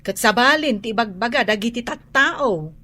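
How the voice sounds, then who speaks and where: High-pitched, nosy village gossip. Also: female voice, lively intonation, normal speed, sharp tone. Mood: gossipy. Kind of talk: radio drama acting.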